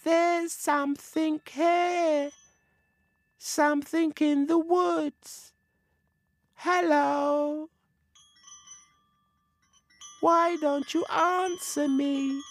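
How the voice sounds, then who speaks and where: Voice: high voice, steady tone, extremely slow, pauses often, dry recording, pitched above the performer's own voice, heavily acted. Squealing invisible forest spirit. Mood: coaxing. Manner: squealing, pleading, petulant threats. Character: high-pitched whiny forest spirit, invisible and easily trapped.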